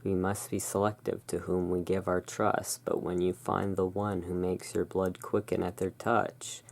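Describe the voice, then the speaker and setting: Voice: sits low, level tone, a little slow, creaky, darkish tone, heavily acted. A person playing the solemn owner of a gothic curiosity emporium, reading portentously in an ASMR tarot roleplay. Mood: solemn, romantic.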